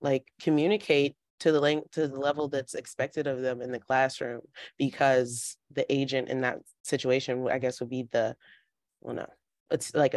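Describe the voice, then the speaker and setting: A woman's voice: extremely low pitch, a little mellow, dry recording. A woman taking part in a workshop discussion, speaking informally and tentatively as she reasons aloud. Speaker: workshop participant.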